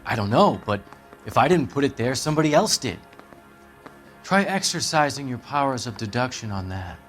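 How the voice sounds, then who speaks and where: A man's voice: medium pitch, animated, moderate pace, fairly bright tone, soft background music, close to an everyday voice. An American man voicing a smug, evasive, cynical writer under police questioning in a crime game, with a sardonic, articulate manner. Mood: defensive, scornful. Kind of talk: video game voice acting, 1940s crime drama.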